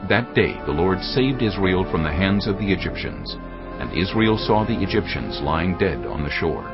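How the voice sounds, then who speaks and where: A man narrating scripture in a measured, steady storytelling voice. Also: low-pitched. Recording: muffled, with music underneath.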